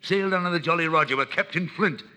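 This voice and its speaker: An actor playing a sly, jovial old one-legged pirate in a storybook record, wheedling and chuckling in hearty sailor talk. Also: male voice, a little high, quick pace, bright, forceful tone. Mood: bluff, falsely reassuring. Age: older. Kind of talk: dramatised storybook record acting.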